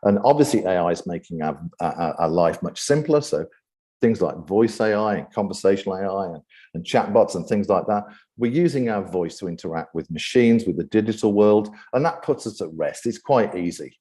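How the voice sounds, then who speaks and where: A British man with a low voice presents to an audience as the event's host. His tone is mellow and relaxed. He speaks off the cuff, stalling now and then on repeated words before pushing on. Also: normal speed. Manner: hesitant, often repeating words before going on. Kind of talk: unscripted presentation talk.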